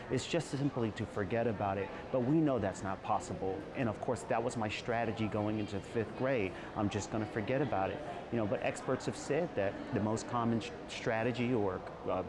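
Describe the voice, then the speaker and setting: A man speaking earnestly as an interview guest, at times halting and repeating words. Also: lowish voice, background noise. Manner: emotional, halting restarts. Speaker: guest, trauma survivor and advocate.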